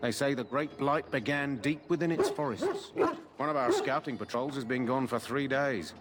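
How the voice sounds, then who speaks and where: A man's voice: medium pitch, animated, moderate pace, heavy background noise, natural voice. A man voicing a blunt, chatty army guard in a fantasy video game. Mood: eager, gossiping. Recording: game music behind.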